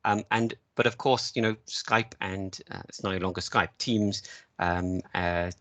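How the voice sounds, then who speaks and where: A man from the United Kingdom speaks on a video call in a chatty, rambling way, leaning on "you know" as he goes. His voice sits fairly low, and the sound is dry and close. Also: steady tone, measured pace, a bit bright, no room sound. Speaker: webinar speaker. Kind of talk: casual panel answer.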